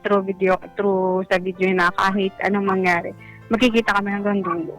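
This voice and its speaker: A woman's voice: medium pitch, level tone, brisk, fairly bright tone, low-quality recording. A woman in her twenties calling a radio show, chatting casually and somewhat hesitantly. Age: 20s. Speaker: caller telling a personal story. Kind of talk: casual conversational call-in chat. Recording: music bed behind. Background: faint background music.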